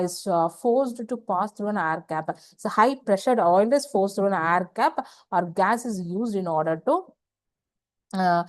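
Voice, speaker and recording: Woman lecturing, halting and dry-sounding. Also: mid-range voice, a little mellow, no room sound. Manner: halting, repetitive, asks and answers own questions. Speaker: engineering lecturer. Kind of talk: slide lecture explaining technical material.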